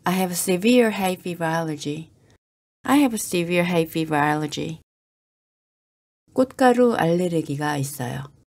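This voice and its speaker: Woman slowly drilling English phrases. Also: fairly low pitch, animated, a little mellow. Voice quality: careful, clipped repetition. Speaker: English teacher. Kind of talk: slow drill reading of phrases.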